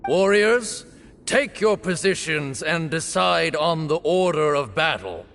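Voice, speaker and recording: A solemn temple official in a game, announcing a sacred duel slowly and formally. His gravelly voice rises and falls widely and rings out with a long hall reverb. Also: a little high, expressive, fairly bright tone, slight background noise, full character voice. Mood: ceremonial. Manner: formal, slow, ceremonial proclaiming. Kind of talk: game voice acting.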